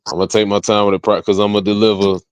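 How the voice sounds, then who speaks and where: A man's voice: lowish voice, flat delivery, rapid-fire, clean tone. An American man chatting casually as an interview guest, slangy and peppering his talk with "you know" and "man". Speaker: interview guest, music artist and entrepreneur. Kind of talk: casual chat. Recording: dull lo-fi audio, roomy echo.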